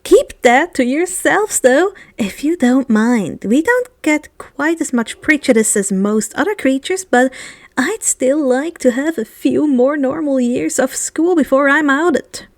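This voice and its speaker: Woman playing blunt teenage warrior girl, high-pitched. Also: animated, unhurried, dry recording. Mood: confiding. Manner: blunt, unbothered. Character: dramatic, fierce, nonchalant thirteen-year-old warrior-folk girl.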